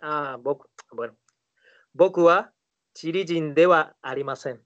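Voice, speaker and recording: Man, class helper, hesitant, repeats himself, slightly high voice, muffled audio. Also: measured pace, a bit bright. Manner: hesitant, answers with fillers and repeats phrases. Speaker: native-speaker guest in class.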